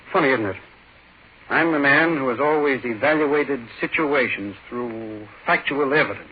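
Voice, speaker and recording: An actor playing an urgent, agitated doctor in 1940s radio drama, speaking with insistent emphasis. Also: male voice, medium pitch, lightly acted. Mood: rueful. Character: agitated, urgent, self-doubting doctor, a man of facts. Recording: dull, noisy 1940s radio recording.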